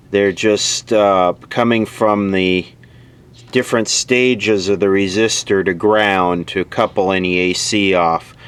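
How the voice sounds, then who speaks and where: A man's voice: fairly low pitch, clear, resonant tone. A man narrating a radio repair at his workbench in a casual way, explaining technical details as he thinks aloud.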